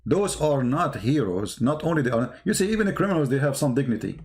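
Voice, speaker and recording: A man's voice: mid-range voice, big pitch swings, fast, darkish tone. Emphatic man, non-native English speaker. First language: not English. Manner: emphatic, repetitive, rhetorical questions. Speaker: online commentator. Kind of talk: impromptu polemical commentary.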